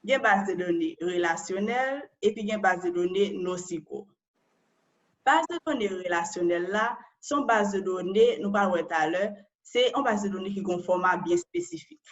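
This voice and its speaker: A woman's voice: medium pitch, expressive, normal speed, highs cut off. A Haitian woman and data analyst giving an online presentation, explaining step by step and often ending phrases with a questioning tag.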